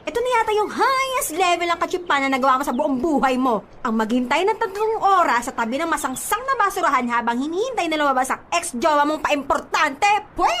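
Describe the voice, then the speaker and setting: A woman's voice: high-pitched, very animated, fairly quick, hardly any pauses, fairly bright tone, a little hiss or hum. An actress playing a blunt, exasperated young Filipino woman, the sassy best friend in a radio drama, nagging with dramatic sarcasm. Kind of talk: radio drama acting in Taglish. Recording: music behind the voice.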